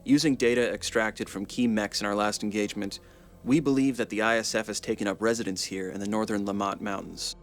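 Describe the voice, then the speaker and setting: A man playing an earnest, analytical mech pilot in a game cutscene, measured and formal as he explains. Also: on the low side, fairly even intonation, faint music behind, natural voice. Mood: earnest briefing. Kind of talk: video game cutscene acting.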